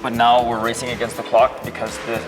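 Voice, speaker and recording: A man working as a trade official, speaking upbeat and a bit halting with filler sounds. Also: mid-range voice, completely flat delivery. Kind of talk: interview and welcome remarks. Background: background music.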